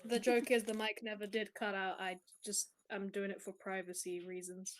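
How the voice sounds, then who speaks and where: A woman's voice: medium pitch, flat delivery, moderate pace. A young woman chatting on a live stream from home, rambling with plenty of fillers and laughs. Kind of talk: casual chat on a live stream. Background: music playing.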